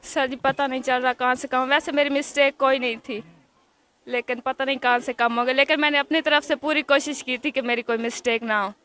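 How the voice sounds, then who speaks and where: Teenage girl student from Pakistan, bright tone, level delivery, earnest. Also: sits high, steady tone, fairly quick. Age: teens. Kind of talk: answering interview questions.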